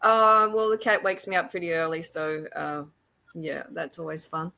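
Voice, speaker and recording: A woman with an Australian accent speaking as a podcast guest, chatting casually in halting, reflective phrases. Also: low-pitched, steady tone, creaky, bright, forceful tone. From rural Australia. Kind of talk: casual interview chat.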